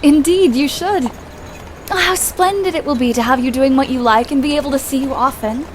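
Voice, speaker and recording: A woman's voice: sits high, fairly quick, slight background noise, close to an everyday voice. A woman playing an earnest, proud, emotional young woman in love in a period audio play, speaking politely and a little hesitantly. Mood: upset.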